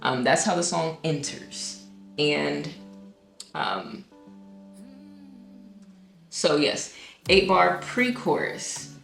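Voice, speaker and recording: Low-voiced woman explaining music theory. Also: lively intonation, extremely slow, gravelly, long pauses, fairly bright tone, reverberant. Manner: thinks aloud with many ums and restarts, sometimes sings a note. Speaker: singer-songwriter explaining her own song. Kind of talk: casual music breakdown.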